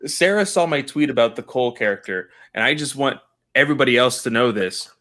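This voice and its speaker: A man's voice: medium pitch, animated, brisk, fairly bright tone. A man co-hosting a podcast in casual chat, making his points emphatically and repeating them for stress. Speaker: podcast co-host.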